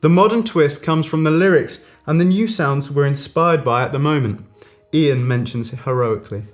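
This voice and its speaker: A man reads aloud as if telling a bedtime story. His voice sits a little high, and his delivery is deliberate and theatrical. Light guitar music plays behind him. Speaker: host reading aloud. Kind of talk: mock bedtime-story reading of a magazine interview.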